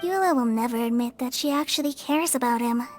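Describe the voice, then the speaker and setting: A woman voicing a small, chatty, teasing female companion in a video game, squeaky and excitable. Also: brisk, close to an everyday voice. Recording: game cutscene with background music.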